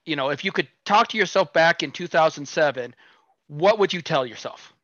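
A man's voice: on the high side, expressive, moderate pace, fairly bright tone, no room sound. A man hosting a podcast, asking questions in a friendly, lightly teasing way. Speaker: podcast host. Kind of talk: casual interview questions. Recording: dry, dull lo-fi sound.

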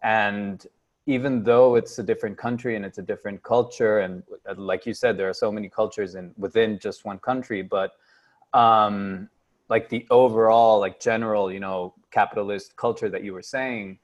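A man's voice: on the low side, fairly even intonation, moderate pace, muffled recording. A man from Costa Rica talks his way through his thoughts. He hesitates often and fills the gaps with um and like. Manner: hesitant, many fillers. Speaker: panelist. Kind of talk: casual discussion.

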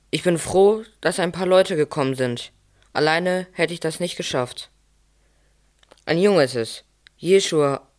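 A man's voice: on the high side, close to an everyday voice. A man playing a poor, humble, caring young father in a scripted nativity audio play, earnest and plain-spoken.

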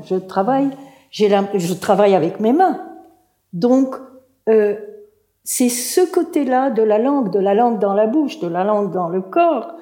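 A woman speaks slowly and reflectively as a panel guest, pausing to search for the right words. Her tone is mellow and soft. The recording carries a noticeable room echo. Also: mid-range voice. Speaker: translator and author guest. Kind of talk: panel conversation. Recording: roomy echo.

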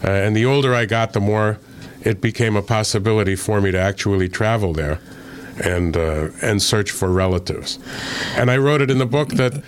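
A man from Connecticut, an author being interviewed, speaking in a measured, thoughtful way with frequent filler pauses. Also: on the low side, slight background noise. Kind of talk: relaxed interview conversation.

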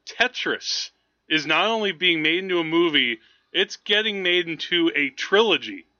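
A man with a very bright, forceful voice co-hosts a casual podcast. His delivery is animated and jokey, and he laughs readily. The audio is slightly dull and lo-fi. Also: a little high, normal speed. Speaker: podcast co-host. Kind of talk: casual movie chat.

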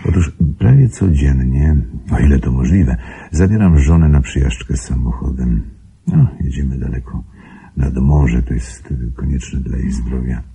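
An actor playing a grave, secretive wealthy husband in a radio drama, speaking formally with measured control in a gravelly, husky voice. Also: male voice, very deep voice, mellow, exaggerated voice. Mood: firm, instructing. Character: grave, secretive wealthy husband guarding his invalid wife.

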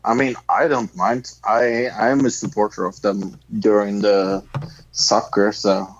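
Slow, low-voiced man, dull recording. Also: fairly even intonation, a little hiss or hum. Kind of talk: casual chat. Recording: dull lo-fi mic, dry.

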